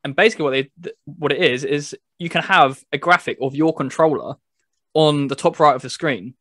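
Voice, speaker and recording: A man's voice: on the high side, brisk, a bit bright, dry recording, studio quality. A man co-hosting a gaming podcast, chatting casually and explaining things step by step. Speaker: podcast co-host reviewing a video game. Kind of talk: casual chat.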